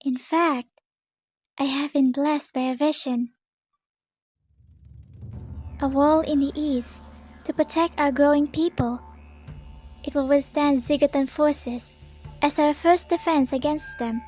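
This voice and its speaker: A woman's voice: high voice, fairly even intonation, measured pace, darkish tone, faint music behind. A woman voicing a proud, resolute, long-lived priestess in a cartoon comic dub, with formal and stately speech. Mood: composed, resolute. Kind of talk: animated comic dub acting.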